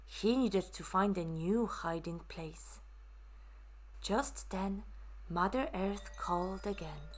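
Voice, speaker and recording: A woman narrating a children's story for a puppet show in a measured, deliberate way. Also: mid-range voice, slight background noise.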